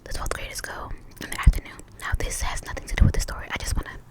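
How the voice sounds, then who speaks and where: An American woman in her twenties telling a casual, chatty ASMR story, slightly short of breath, repeating words softly. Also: quick pace. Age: 20s. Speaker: ASMR video host.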